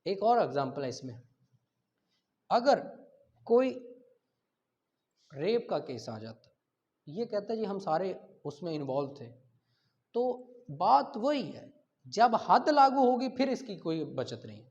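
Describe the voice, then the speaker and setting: A man's voice: high-pitched, very animated, slow pace, long pauses, darkish tone. A man with a Pakistani accent giving an explanatory law lecture with examples, asking and answering his own questions and mixing in English terms. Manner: switches between Urdu and English legal terms. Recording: dull lo-fi sound.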